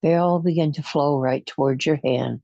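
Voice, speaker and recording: A guide leading a guided imagery relaxation session, speaking in a soothing, hypnotic way with long pauses and slow counting, on a dull lo-fi recording. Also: mid-range voice, vocal fry, dark tone, no room sound.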